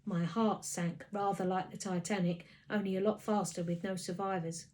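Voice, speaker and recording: Female narrator for a children's book, mid-range voice, expressive scripted reading. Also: medium pitch, moderate pace. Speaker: story reader.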